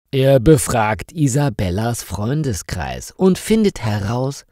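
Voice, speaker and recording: A man narrating a scripted story slowly and with clear articulation for language learners. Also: lowish voice, no room sound, clean studio sound. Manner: deliberate, clearly articulated, lively intonation. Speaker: narrator of German learner stories.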